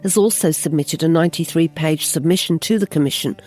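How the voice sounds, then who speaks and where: A podcast host narrating a scripted segment in a steady, clear reading voice. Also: mid-range voice, fast, faint music behind.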